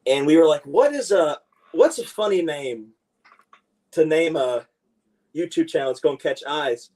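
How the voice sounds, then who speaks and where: Young male guest, loose and eager talker, slightly raised pitch, distant mic pickup. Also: expressive, normal speed, warm tone. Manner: laughs often, loose and enthusiastic. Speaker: wrestler guest. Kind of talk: casual interview chat. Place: rural home.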